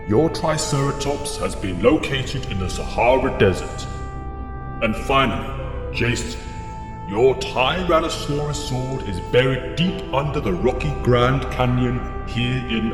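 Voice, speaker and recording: A grave, commanding alien mentor played by a man gives formal, measured orders. The voice rings with long reverb, and loud music plays behind it. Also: mid-range voice. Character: solemn male alien mentor commanding young heroes. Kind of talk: audio drama acting.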